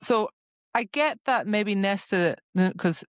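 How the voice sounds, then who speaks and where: A woman's voice: mid-range voice, expressive, slow pace, no echo, highs cut off. A woman co-hosting a podcast in a casual book discussion, retelling things animatedly with mock quotes. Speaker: podcast co-host.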